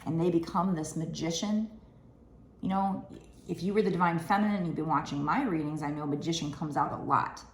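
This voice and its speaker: Raspy, low-voiced woman reading tarot. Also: a little fast, echoey room. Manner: chatty, rambling, with many fillers. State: raspy from lack of sleep. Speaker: tarot reader. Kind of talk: casual tarot card reading. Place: room at a table indoors.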